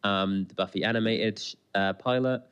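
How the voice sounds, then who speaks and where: A man's voice: fairly low pitch, fairly even intonation, moderate pace, fairly bright tone, clean tone. A man co-hosting a podcast in casual chat, rambling along and often interrupting himself. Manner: chatty, rambling, self-interrupting. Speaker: podcast co-host.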